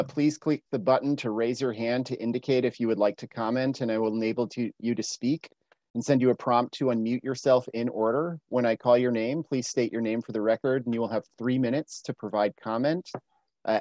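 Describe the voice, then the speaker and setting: A man on city staff reading out meeting procedures over a video call in a measured, scripted way. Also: medium pitch, fairly even intonation, darkish tone, no echo. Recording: dull, dry video-call audio.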